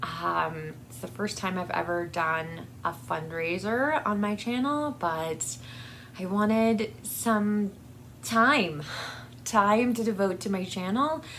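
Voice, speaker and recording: Slow, halting woman vlogger over background noise. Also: medium pitch, a bit bright. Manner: halting, rambling, effusively grateful. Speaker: book channel vlogger. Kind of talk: casual personal update to viewers. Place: basement with air conditioning running.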